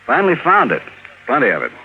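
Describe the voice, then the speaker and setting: An actor playing a businesslike, suspicious insurance manager in a 1950s radio drama, measured and emphatic. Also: male voice, mid-range voice, wildly swooping pitch. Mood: informative. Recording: tinny, slightly noisy vintage radio recording.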